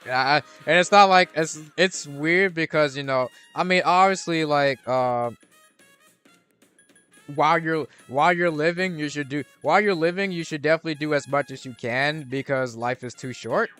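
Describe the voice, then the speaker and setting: A man's voice: a little high, normal speed, fairly bright tone, smooth, soft background music. A young man chatting casually while playing a game, rambling with frequent restarts and trailing thoughts. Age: young adult. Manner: rambling, lots of restarts and fillers. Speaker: gaming streamer. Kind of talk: casual gameplay commentary.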